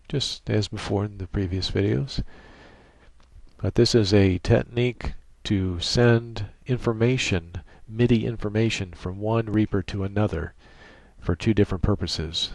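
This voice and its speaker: A man giving an unscripted software tutorial in a methodical, technical way with halting phrasing, close on a USB microphone. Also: on the low side, no echo. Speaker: tutorial presenter.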